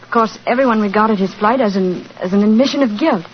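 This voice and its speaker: An actress as a polite, earnest young gentlewoman pleading for her lost love. She talks quickly and urgently in vintage radio drama style. The sound is dull with faint noise. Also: medium pitch, lightly acted. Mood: anxious, eager. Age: young adult. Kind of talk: 1940s radio acting. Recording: noisy, muffled 1940s radio recording.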